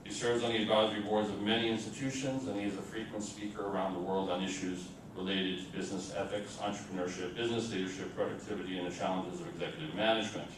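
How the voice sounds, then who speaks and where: A man reading a formal, scripted introduction as moderator of an event, in a measured way. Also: fairly even intonation. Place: indoor event room. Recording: boomy echoing room, distant mic, noisy rumble.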